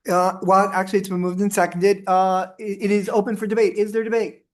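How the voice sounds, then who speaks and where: A woman chairing a town council meeting, moving briskly through procedure with frequent fillers. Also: mid-range voice, flat delivery, darkish tone.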